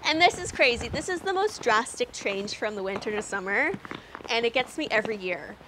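Bubbly canadian girl in her 20s walking around her snowy yard on a lapel mic, kinda high voice, lots of ums and laughs, faint outdoor hiss. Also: lively intonation, brisk. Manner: chatty, upbeat, with frequent laughs and "um"s. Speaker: garden vlogger. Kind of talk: casual walking garden tour. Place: snowy backyard garden outdoors.

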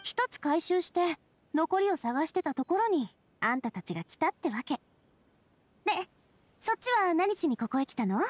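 A woman's voice: high voice, very animated, moderate pace. A woman playing a confident, cheerful young leader in an anime game, breezy and self-assured. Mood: matter-of-fact. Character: Navia, confident cheerful young woman, boss made fairytale king. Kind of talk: Japanese anime game voice acting.

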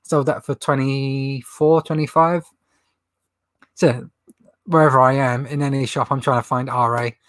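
A man's voice: mid-range voice, flat delivery, unhurried, a bit bright, no echo, clean studio sound. Chatty young man with a runny nose. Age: young adult. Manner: chatty, rambling, laughs at own jokes. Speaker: reseller livestream host. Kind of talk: casual livestream Q&A. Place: indoor stock room with shelves.

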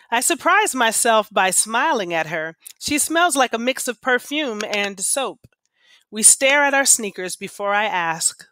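Lady teacher reading kids poems out loud, bright clear-cut voice, kinda slow and careful, dry sound. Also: a little high, no room sound. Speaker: teacher reading aloud to students. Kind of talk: expressive read-aloud of children's poems and class instructions.

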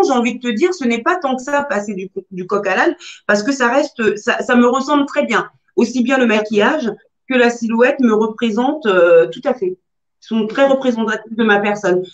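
A woman in her thirties answering interview questions from home over a video call, speaking in a measured way with frequent fillers and restarts. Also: fairly high pitch. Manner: measured, hesitant with many fillers. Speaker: guest entrepreneur. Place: room at home, indoors. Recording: dull lo-fi video-call audio.

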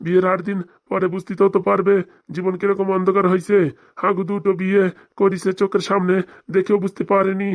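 Man playing a sing-song, sly village priest, flat-toned. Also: high-pitched, quick pace. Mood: sly muttering. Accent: Bengali, rural dialect. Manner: sing-song mock-solemn chanting. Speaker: wedding priest. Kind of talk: comic cartoon voice acting with mock mantra chanting.

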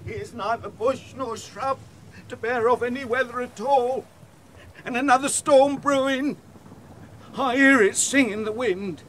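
An actor playing a cowardly, curious clown in a Shakespearean comic audio play, exclaiming and musing aloud theatrically. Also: male voice, very high voice, a little slow, a bit bright. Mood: nervous, puzzled. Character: jittery, curious, cowardly male clown castaway. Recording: clear background storm noise.

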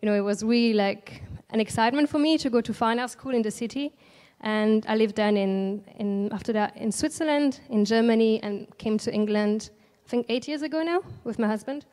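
A woman in her thirties from Alsace in France, a native French speaker with a French accent, speaking gently and reflectively as an artist guest in a stage interview into a handheld mic. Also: on the high side, fairly quick. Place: large indoor event space at a museum, on stage. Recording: long hall reverb.

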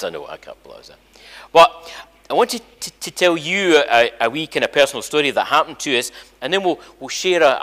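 A man's voice: fairly high pitch, sharp tone. An older Scottish man, a church minister, chatting informally and animatedly to his congregation as he tells stories. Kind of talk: informal all-age church talk, storytelling. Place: large church sanctuary, indoors. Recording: echoey church hall, long reverb.

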